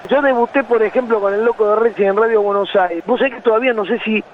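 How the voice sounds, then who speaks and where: A man's voice: sits high, quick pace, slight background noise. An older man with an Argentine accent, a sports announcer telling anecdotes in an interview. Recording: thin phone line.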